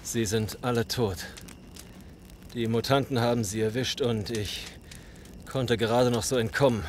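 A man plays a solitary survivor in a zombie audio drama, polite yet faintly unsettling. He speaks slowly at a medium pitch, with light ambient noise behind him. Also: fairly even intonation, close to an everyday voice. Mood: grim, bitter.

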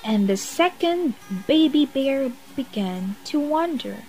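A woman reading a children's picture book aloud as a storyteller, expressive and deliberately phrased for young listeners. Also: high voice, faint music behind, poor audio. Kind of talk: bedtime story read-aloud.

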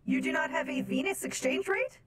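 A woman's voice: high voice, lively intonation, brisk, fairly bright tone. A woman voicing an oddly formal, naive female space robot from Venus in a game roleplay, speaking in stilted, literal phrases. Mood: innocent, formal. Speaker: streamer playing a roleplay game.

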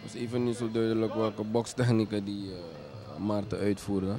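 A man commentating on a kickboxing match in a hesitant, measured way, as a sports commentator does during a live bout. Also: on the low side, fairly even intonation. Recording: music behind the commentary. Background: music.

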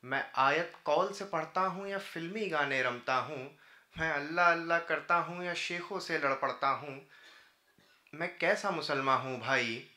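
Male poet, thirties, very bright forceful tone, declamatory and rhythmic, roomy hall sound. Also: fairly high pitch, a little slow. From India. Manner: declamatory, rhythmic repeated phrasing. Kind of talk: live Urdu-Hindi poetry recitation. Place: indoor auditorium stage at a podium. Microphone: podium microphone. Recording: roomy auditorium sound through PA microphone.

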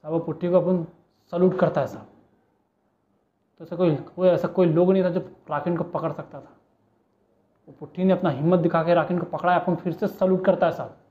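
A man's voice: high voice, fairly even intonation, a little fast, pauses often, natural voice. A man acting a cheeky small-time crook with a Mumbai street accent, bargaining and pleading in a police interrogation monologue. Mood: admiring, insistent. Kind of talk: audition monologue, film-style street-slang acting. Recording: muffled, narrow phone-like sound.

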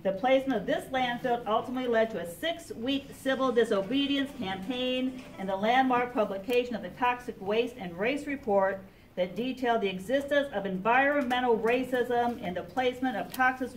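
Woman, middle-aged, fairly high voice, emphatic public testimony, picked up from off in a roomy hall. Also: moderate pace. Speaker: chaplain and activist speaker at a public meeting. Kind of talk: public testimony, reading a statement and appealing. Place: public library meeting room, indoors. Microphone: camera a few metres away. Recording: echoey meeting room, distant camera, faint noise.

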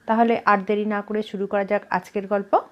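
A woman reading a story aloud as a narrator, in an expressive storytelling style. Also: medium pitch, moderate pace, highs cut off. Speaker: story reader, channel host.

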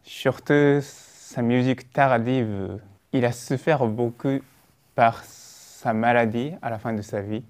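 Soft-spoken young man, hesitant. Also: mid-range voice, a little slow. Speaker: pianist in an interview. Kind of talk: interview.

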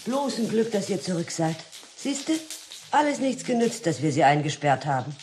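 Harried, complaining country housewife. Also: female voice, on the low side, big pitch swings, normal speed, a little mellow. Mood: harried, resigned. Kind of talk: 1950s German radio play acting. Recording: faint noise, old radio recording.

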